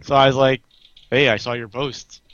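A man with a Canadian accent telling gaming stories casually and haltingly over online voice chat, with an amused manner. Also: medium pitch, level tone, fairly bright tone, muffled recording. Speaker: guild member and raid officer on a group show.

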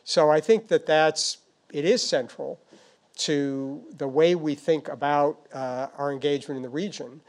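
Guy, policy panel expert, voice a bit on the high side, thoughtful, stops and restarts while explaining. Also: steady tone. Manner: halting, hedging, thoughtful explanations. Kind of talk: unscripted answers at a policy discussion.

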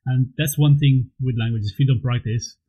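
A man's voice: mid-range voice, moderate pace, husky, muffled recording. A man from Portugal speaking English with a Portuguese accent, hosting a casual interview with easy laughs and short encouraging replies. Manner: relaxed, laughs easily, brief encouraging replies.

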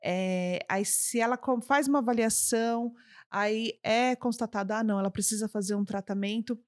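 A woman's voice: fairly high pitch, normal speed, no room sound. Brazilian woman host with a dry sound. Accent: Brazilian Portuguese. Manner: conversational, sums up answers, many fillers. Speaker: podcast host. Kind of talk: podcast interview questions.

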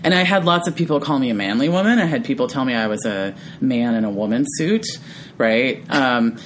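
Brief: low-pitched woman, deep voice, easygoing podcast co-host, musing aloud with a slight hiss of faint noise behind. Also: brisk. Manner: tags thoughts with frequent "right?"; laughs easily, animated when amused. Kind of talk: reflective casual conversation.

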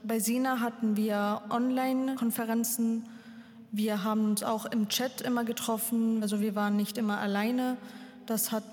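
Flat, higher-voiced young woman. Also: normal speed. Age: 20s. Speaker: workshop participant. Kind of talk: personal statement. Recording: long hall reverb.

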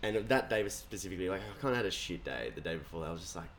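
A man's voice: low voice, expressive, very fast, fairly bright tone, a little hiss or hum. A young Australian man, a guest musician, chatting casually in a rambling, laid-back way with lots of swearing and fillers. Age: young adult. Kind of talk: casual podcast chat.

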